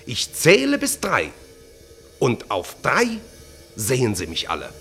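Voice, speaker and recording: Uncanny magician, wildly swooping voice. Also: male voice, medium pitch, huge pitch swings, measured pace, pushed and bright, faint music behind, exaggerated voice. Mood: hypnotic, commanding. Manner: declamatory, hypnotic, insistent. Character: uncanny, insistent male magician, taunting and self-assured. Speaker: radio drama actor. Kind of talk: theatrical early German radio play acting.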